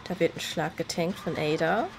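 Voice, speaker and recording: A woman's voice: fairly low pitch, moderate pace, over music. A woman with a stuffy, slightly nasal voice from a cold, chatting casually while she plays and explains game mechanics. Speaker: gaming video creator. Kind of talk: casual gameplay commentary.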